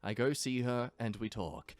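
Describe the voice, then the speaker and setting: A man's voice: mid-range voice, moderate pace, dry recording. A young man from England hosting a reaction channel, reading online stories aloud and adding sarcastic asides. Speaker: YouTube reaction host.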